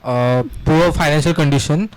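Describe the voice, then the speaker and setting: A young man, a student, asks a question in a hesitant, halting way. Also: a little high, no room sound, rough recording. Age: young adult.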